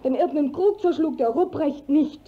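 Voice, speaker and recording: A woman plays a young village maiden with a very high voice, earnest and defiant. She declaims classical German stage verse with anguish. The old radio play recording sounds dull and muffled. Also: brisk, close to an everyday voice. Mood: earnest, resolute.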